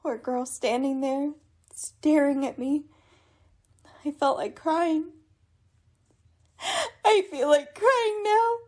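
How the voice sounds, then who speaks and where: Radio-drama ingénue, played by a woman: high-pitched, nervous, guilty young daughter, earnest and halting. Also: a little mellow. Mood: remorseful. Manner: hesitant, earnest, troubled. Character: anxious, guilt-ridden young daughter of a politician. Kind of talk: old-time radio drama acting.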